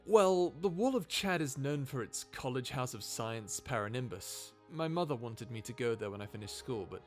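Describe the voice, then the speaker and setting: A sarcastic, grumbling scientist acted by a man talks in a casual, exasperated way, as in an audio roleplay. His voice sits at a middle pitch. Music plays clearly underneath. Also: lively intonation, normal speed, natural voice. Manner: sarcastic, informal, exasperated, sighing. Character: wry, grumbling human scientist, reluctant companion of a robot. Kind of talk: audio roleplay drama, conversational acting.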